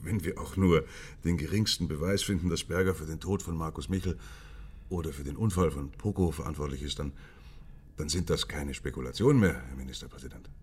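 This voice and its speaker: A low, husky male voice as a principled police inspector in a naturalistic radio drama. He lays out facts in a measured way and holds his ground insistently. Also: darkish tone. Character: dogged male police chief inspector briefing politicians, principled.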